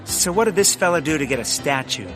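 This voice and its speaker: A woman playing a hapless, excitable male detective in a comedic period audio drama. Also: sits low, fast talker, over music. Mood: excited. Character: hapless, excitable, bumbling male sleuth in a comic mystery.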